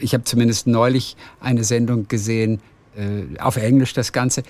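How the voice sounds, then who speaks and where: A man's voice: medium pitch, fairly even intonation, moderate pace, a little mellow. A man interviewing a guest in a casual, friendly way, asking curious follow-up questions.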